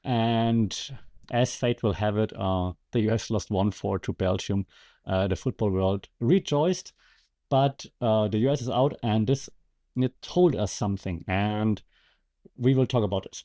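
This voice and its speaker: Mellow-voiced man, wry podcast host. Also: lowish voice, moderate pace. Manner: wry, sarcastic asides, conversational. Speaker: podcast host and media lecturer. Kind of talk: casual podcast commentary.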